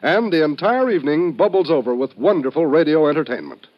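A man's voice: on the high side, fairly quick. A man announcing in 1950s network radio style, with an emphatic, polished promotional delivery. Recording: dull, band-limited old radio recording.

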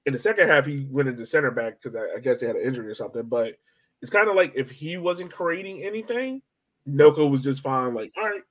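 A man's voice: mid-range voice, animated, fast, a bit bright. A man hosting a sports podcast in a casual, chatty way, often rambling, hedging and repeating himself as he goes.

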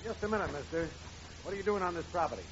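An actor playing a gruff, bossy watchman who sounds like a cop, in 1940s radio comedy style. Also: sits high, very fast, fairly bright tone. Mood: stern. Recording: dull noisy old radio recording.

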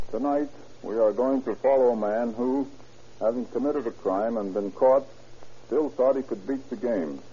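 A man's voice: medium pitch, dark tone, husky. A man speaking as a police captain, reading a formal 1930s radio address in a solemn, stiff, moralizing way. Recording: muffled vintage radio recording.

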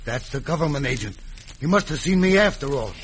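A man's voice: on the high side, lively intonation, fairly quick, natural voice. An actor playing a tough, trigger-happy outlaw henchman with rustic cowboy speech in an old-time radio western. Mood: sullen, tense. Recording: noisy vintage radio recording.

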